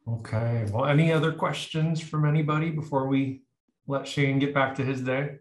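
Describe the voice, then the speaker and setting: A man hosting a club meeting, wrapping up casually with a relaxed, friendly manner and some fillers. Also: mid-range voice, moderate pace. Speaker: meeting host. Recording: echoey room.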